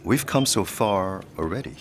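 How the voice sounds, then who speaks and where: A man playing a suave, calculating man of wealth in a period audio drama, formal and smoothly insinuating. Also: low voice, expressive, normal speed, noisy background.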